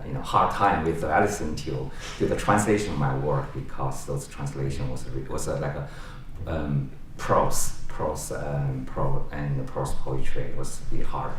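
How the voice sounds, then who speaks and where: A middle-aged man from China, a native Chinese speaker with a Chinese accent, introducing a guest at a university talk in halting English full of restarts and fillers. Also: very deep voice. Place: lecture room. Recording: roomy echo, distant mic, faint background noise.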